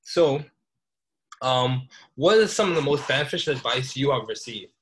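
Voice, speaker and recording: Guy from the bahamas hosting a podcast interview, medium pitch, conversational, deliberate, rewords his questions. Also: lively intonation, slightly rough, rough recording.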